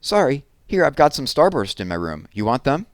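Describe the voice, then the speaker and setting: A man's voice: medium pitch, big pitch swings, a little fast, dry recording, clean studio sound, voice pushed up. A man reading aloud, voicing an eager young girl with a chirpy, upbeat way of talking. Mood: friendly. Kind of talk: read-aloud character voice.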